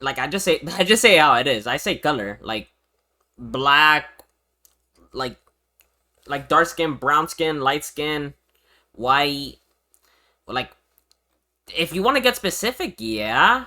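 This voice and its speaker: Animated, bright-voiced young male streamer. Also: a little high, takes it slowly, long pauses. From Venezuela. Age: young adult. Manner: animated, slangy, swearing, repetitive. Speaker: streamer reacting to a video. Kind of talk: casual livestream reaction chat.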